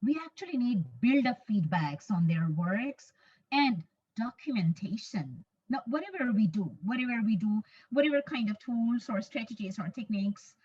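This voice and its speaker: A woman's voice: fairly high pitch, a little mellow, husky. Bangladeshi woman presenting a distant, muffled webinar. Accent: Bangladeshi English. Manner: measured, repeats phrases for emphasis. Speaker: conference presenter, English teacher.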